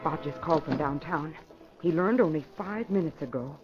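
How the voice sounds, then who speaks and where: A woman's voice: deep voice, normal speed, loud music behind the voice, natural voice. An American woman playing a cool, calculating secretary and criminal accomplice in old radio crime drama style, sardonic and self-assured. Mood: cool, efficient. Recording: muffled old radio recording.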